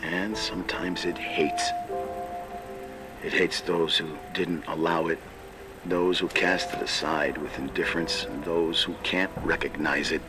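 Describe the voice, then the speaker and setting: A man playing a weary, brooding man in a game cutscene, speaking poetically and deliberately over music. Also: deep voice, fairly even intonation. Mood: solemn, musing. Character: weary, tormented man, grieving philosophically in a farewell monologue. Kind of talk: dramatic game cutscene monologue. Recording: music behind the voice.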